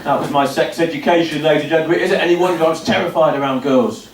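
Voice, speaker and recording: A man's voice: fairly high pitch, rapid-fire, slight background noise. Self-deprecating comic in his fifties. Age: 50s. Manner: dry, self-deprecating storytelling with punchy asides. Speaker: stand-up comedian. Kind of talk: stand-up comedy set. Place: comedy club stage before a laughing audience. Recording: roomy live venue recording from a distance.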